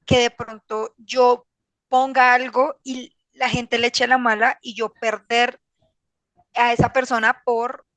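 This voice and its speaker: High-voiced Colombian woman chatting candidly. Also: a bit bright, dry recording, low-quality recording. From Bogotá, Colombia. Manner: candid, slangy, rambling. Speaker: podcast guest. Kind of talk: casual personal conversation.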